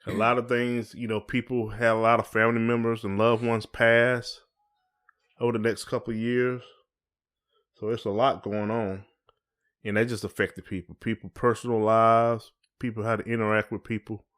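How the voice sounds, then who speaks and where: Low male voice, American accent, casual podcast host, colloquial, conversational. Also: little pitch movement, moderate pace, fairly bright tone. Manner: colloquial, often checks in with "you know".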